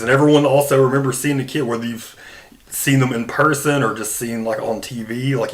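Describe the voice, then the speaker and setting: A man's voice: mid-range voice, fairly bright tone. A man hosting a podcast, talking casually and emphatically in an informal, conversational way. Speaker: podcast host. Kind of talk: casual film discussion.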